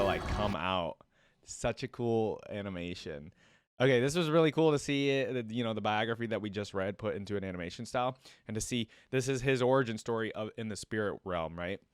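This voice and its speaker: A man's voice: on the low side, no room sound, clean studio sound. A man giving casual, exclamatory live reaction commentary as the host of a reaction video. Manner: exclamatory, informal fan talk.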